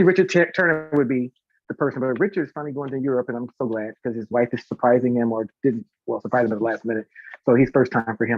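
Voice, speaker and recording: Fast-talking, excitable man on dull audio. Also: mid-range voice, dry recording. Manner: excitable, effusive, rambling. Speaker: guest, singer and concert organiser. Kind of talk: casual live chat, talkative promotion.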